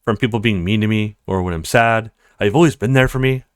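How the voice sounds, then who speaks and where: A man's voice: on the low side, quick pace, clean tone, no echo, clean studio sound, voice pushed down, lightly acted. A man voicing a petite young woman in a visual novel, earnest, insecure and blunt in her complaints. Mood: earnest, hesitant.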